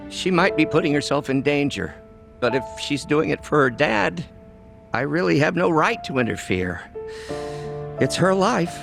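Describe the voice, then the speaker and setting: Male game character: caring, fretful employer, emotional and very animated, music behind. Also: fairly high pitch, normal speed, gravelly. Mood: troubled. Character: anxious, caring male boss fretting over his staff.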